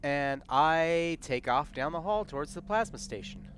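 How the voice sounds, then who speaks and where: Lively man playing a tabletop game. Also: fairly high pitch, unhurried, slight background noise. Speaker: player in a streamed tabletop game. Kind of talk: casual game-table talk.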